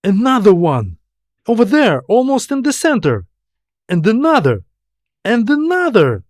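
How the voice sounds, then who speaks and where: Man voicing an excited young spacewoman, pitched high. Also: unhurried, dry recording, studio quality, exaggerated voice. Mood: excited, amazed. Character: excited, awestruck young woman spaceship crew member.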